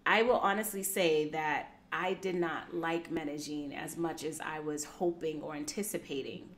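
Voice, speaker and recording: A woman's voice: low voice, fairly even intonation, moderate pace, a little hiss or hum. A woman hosting a casual interview, frank and blunt with casual slang. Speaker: podcast host.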